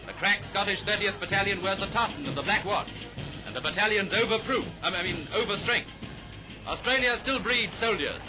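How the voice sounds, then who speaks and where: High-pitched British 1930s newsreel man. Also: normal speed, poor audio. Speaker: newsreel narrator. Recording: vintage 1930s newsreel soundtrack, music underneath. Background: music.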